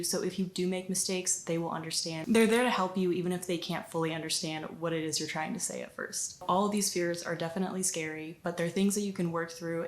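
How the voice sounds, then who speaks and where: Young woman, lowish voice, encouraging vlog tips, roomy echo. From United States. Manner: upbeat, encouraging advice. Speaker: language-learning vlogger. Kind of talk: scripted talk to camera. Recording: echoey room.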